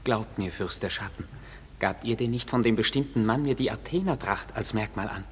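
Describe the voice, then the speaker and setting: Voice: medium pitch, moderate pace, lightly acted. An actor playing a gleeful, taunting male forest sprite in a classic German radio play. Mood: gleeful, cheeky. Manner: playful, taunting, theatrical verse delivery. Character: gleeful mischievous male forest sprite, servant to fairy king. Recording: reverberant hall, noisy radio play production.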